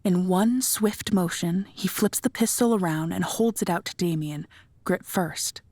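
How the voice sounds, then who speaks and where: A woman's voice: on the low side, a little slow, darkish tone, no room sound. A woman reading scripted third-person narration for an audio drama.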